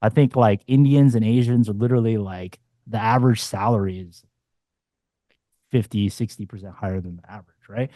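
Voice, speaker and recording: A man's voice: fairly low pitch, brisk, warm tone, no room sound. A man in his forties, a dating coach talking bluntly and casually on a panel, swearing freely and throwing in punchy asides. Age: 40s.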